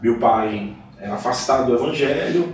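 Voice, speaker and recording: A man from Salvador, a guest pastor telling his life story in a measured, earnest way in a podcast interview. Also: medium pitch, distant mic. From Salvador, Bahia, Brazil. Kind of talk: podcast interview, personal testimony. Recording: echoey room, voice from off-mic.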